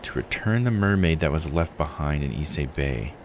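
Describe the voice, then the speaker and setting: A man voicing a weary, regretful woman diver in a visual novel, measured and confiding. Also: extremely low pitch, lively intonation, a little hiss or hum, pitched below the performer's own voice. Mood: grave, confiding. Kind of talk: reading visual novel dialogue aloud. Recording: music under the voice. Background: game music.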